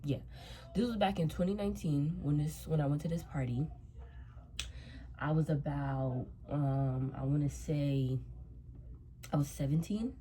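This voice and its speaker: A woman in her twenties talks in a low, mellow voice, her nose stuffed up from a cold. She rambles through a casual storytime, circling back and repeating herself while she does her makeup. A faint hum sits behind her. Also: measured pace, pauses often, rough recording. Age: 20s. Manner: rambling, repeats herself, loose slangy talk. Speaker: vlogger.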